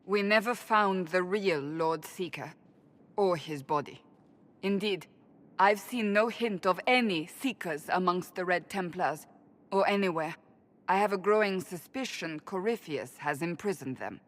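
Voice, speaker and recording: An actress playing a stern, earnest woman warrior of a holy order in a fantasy game, speaking in a formal, deliberate way. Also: lowish voice, close to an everyday voice. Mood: brooding, analytical. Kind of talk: dramatic fantasy game dialogue.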